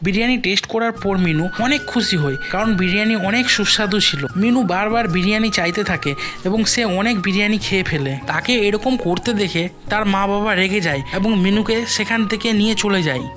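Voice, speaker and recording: A woman's voice: medium pitch, fast. A woman reading scripted storybook narration for a cartoon moral tale. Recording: music behind the voice.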